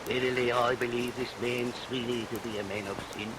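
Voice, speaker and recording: An actor playing a plump, scheming, sanctimonious parson in vintage radio melodrama, preaching in florid, archaic biblical phrases. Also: male voice, mid-range voice, steady tone, a little fast, lightly acted. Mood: conspiratorial. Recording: vintage radio recording under rain noise.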